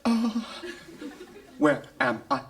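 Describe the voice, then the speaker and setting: An actor playing a vain, foppish romantic-lead first officer in a live sci-fi radio comedy, pompous and theatrical. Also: male voice, sits high, big pitch swings, extremely slow, creaky, heavy background noise, exaggerated voice. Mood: dazed, aggrieved.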